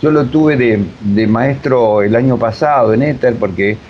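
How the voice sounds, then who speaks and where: A mature man with an Argentine accent explaining reflectively as an interview guest, with emphatic repetition and deliberate pauses. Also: medium pitch. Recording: dull lo-fi sound, faint noise.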